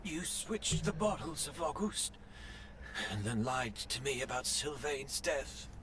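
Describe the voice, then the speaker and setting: A man's voice: mid-range voice, big pitch swings, takes it slowly, noisy background. An actor playing a shaken, scheming male villain who cannot accept defeat, in a dramatic video game style. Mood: disbelieving.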